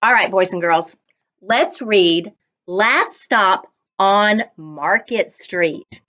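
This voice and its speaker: A woman reading coach reading a children's story aloud in an expressive, deliberate storytelling manner. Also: mid-range voice. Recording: dull lo-fi online recording.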